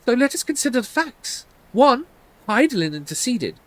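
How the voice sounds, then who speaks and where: A man's voice: extremely high pitch, big pitch swings, moderate pace, pitched above the performer's own voice. A man voicing an earnest scholarly researcher from a fantasy game, formal and precise as theories are laid out. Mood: earnest, explanatory.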